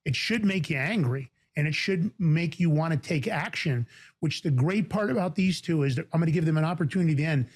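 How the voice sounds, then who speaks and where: A man from the Bronx with a New York accent, hosting a talk show in a fast, emphatic, indignant way. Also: on the high side, expressive, darkish tone, husky. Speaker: podcast host.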